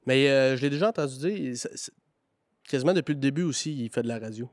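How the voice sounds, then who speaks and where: A man's voice: medium pitch, quick pace, no room sound, clean studio sound. A man with a Quebec French accent, a farmer hosting a podcast in loose, chatty talk full of fillers and restarts. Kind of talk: casual podcast chat.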